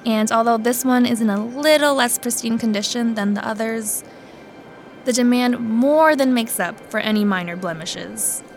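An actress playing a courteous, careful coin appraiser who explains things politely and in measured phrases in an audio drama. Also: female voice, on the high side, faint music behind, natural voice. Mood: polite, explaining.

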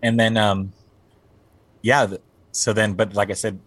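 A man's voice: on the low side, level tone, a little slow, a bit bright, no echo. A man in his forties, a band singer, talking casually in an interview. He rambles and often restarts his sentences, with plenty of fillers. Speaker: guest musician, band singer.

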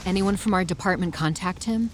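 A woman playing a brisk, curious investigator in an audio drama. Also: on the low side, lightly acted. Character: brisk, curious female investigator, agent's restless partner. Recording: very noisy scene with clicks.

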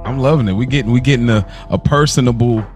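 A man's voice: medium pitch, fast, darkish tone, faint music behind. A man in his thirties hosting a casual podcast, talking in slangy, conversational phrases that he often repeats for emphasis. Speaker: podcast host.

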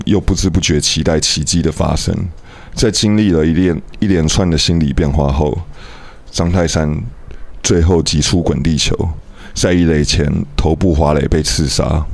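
A man's voice: very deep voice, normal speed. A man reading his own written piece aloud on a radio show.

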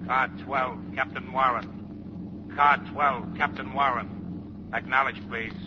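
An actor playing a formal, efficient police dispatcher in a 1950s radio drama, crisp and procedural. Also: male voice, mid-range voice, steady tone, takes it slowly, natural voice. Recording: dull noisy police-radio scene, old broadcast.

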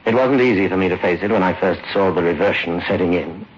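An actor playing a grave, controlling, secretive brother in an old radio horror drama, deliberate and ominous. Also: male voice, low-pitched, slightly rough. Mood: solemn, confiding. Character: grave, secretive, controlling brother guarding his afflicted sister. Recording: muffled, noisy old radio recording.

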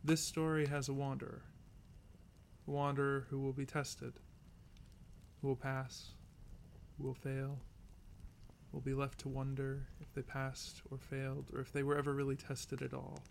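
A man playing a weary, resolute, lovelorn wanderer in an audio drama, narrating their journey with earnest, deliberate phrasing. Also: mid-range voice, monotone, vocal fry, a little hiss or hum, close to an everyday voice. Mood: solemn, resolute. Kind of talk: audio drama monologue and folk singing. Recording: guitar music behind. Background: acoustic guitar music.

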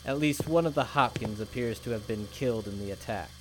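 A man's voice: mid-range voice, fairly quick, noisy background, close to an everyday voice. A man playing a cold, clipped government agent. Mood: dictating. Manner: flat, clipped official dictation. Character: cold, bureaucratic male investigator agent. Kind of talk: audio drama acting.

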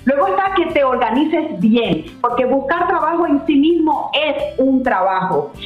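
A woman with a fairly high voice explains practical advice as an interview guest. She sounds instructive, like an adviser on live television. The audio is roomy and somewhat dull. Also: expressive, unhurried, faint music behind.

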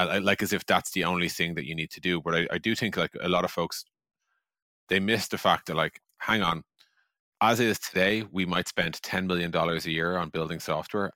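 A man's voice: low-pitched, bright, forceful tone, no room sound. A man talking casually and emphatically as a co-host in a business discussion, peppering his points with filler words. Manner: conversational, emphatic, peppers talk with "like" and "you know". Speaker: podcast co-host and software executive.

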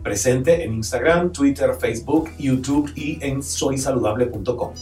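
A male talk-show host with a slightly rough voice chats playfully and laughs often. He sits a little back from the mic in an echoey room, with soft music under him. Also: medium pitch, moderate pace, distant mic. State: voice a bit rough today. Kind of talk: casual chat.

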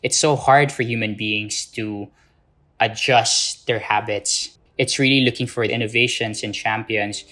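A man from the Philippines speaks as an interview guest in a fairly low voice. He explains his work and ideas in spontaneous, conversational answers. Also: steady tone, unhurried, bright, forceful tone. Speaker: interview guest, social entrepreneur.

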